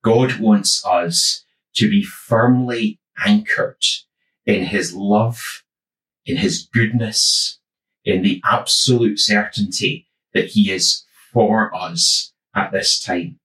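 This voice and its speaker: A man from the west of Scotland with a West of Scotland accent, giving a gentle, reflective devotional talk with many small ums and uhs. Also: on the low side, takes it slowly, roomy sound, far from the mic, poor audio. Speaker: church minister.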